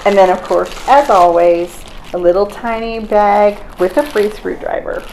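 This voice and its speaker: A woman's voice: medium pitch, unhurried, a little mellow, a little hiss or hum, low-quality recording. A woman hosting a casual product unboxing in an informal, conversational way with her co-presenter. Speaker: host.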